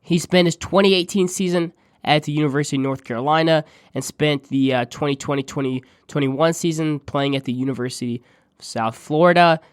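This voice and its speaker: A man with a congested, nasal voice while under the weather, hosting a podcast and giving a steady, stat-heavy sports preview. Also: a little high, brisk, clear, resonant tone, dry recording, studio quality. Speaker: podcast host.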